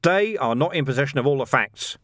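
A man voicing a gruff, impatient city watch commander in an audiobook, blunt and demanding. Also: medium pitch, lively intonation, fairly quick, fairly bright tone, dry recording, studio quality. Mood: angry, insistent. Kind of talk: audiobook character dialogue.

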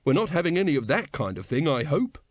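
Guy doing a big earnest polite young watchman, bit higher voice, dry sound. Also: very animated, fast talker, dry recording.

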